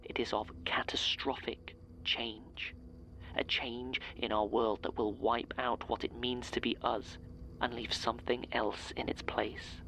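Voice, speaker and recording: An actor playing a solemn, ominous man who warns of an apocalypse in a breathy audio-drama monologue. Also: mid-range voice, level tone, a little slow, bright, forceful tone, whispered, rough recording. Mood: grave foreboding. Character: grave man warning of a coming apocalypse.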